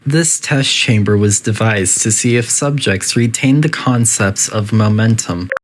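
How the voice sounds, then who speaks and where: A synthetic voice playing a politely detached, quietly menacing artificial intelligence that makes formal, deadpan announcements in a video game test facility. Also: mid-range voice, unhurried, lightly acted. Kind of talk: scripted game announcer narration.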